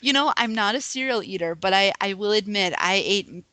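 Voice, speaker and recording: A woman's voice: mid-range voice, brisk, sharp tone, dry recording. A young adult woman co-hosting a podcast, chatty and giggly, with many fillers. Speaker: podcast co-host. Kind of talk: casual chat showing collectibles.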